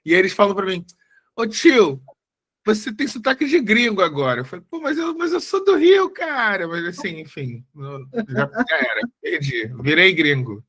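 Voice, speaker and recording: A man's voice: high voice, very animated, normal speed, a bit bright, breathy edge. A man in his forties from Brazil, giving a casual, chatty talk as a guest researcher over a video call, often restarting his sentences. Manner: chatty, self-correcting, many fillers. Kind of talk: casual live talk before a slide presentation.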